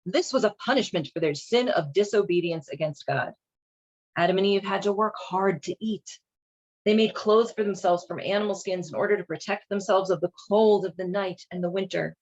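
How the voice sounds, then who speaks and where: A woman's voice: mid-range voice, normal speed, a little mellow, lo-fi sound. A woman hosting a casual read-along and commentary, speaking in an informal, wry, irreverent way. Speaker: host reading and commenting on a children's Bible.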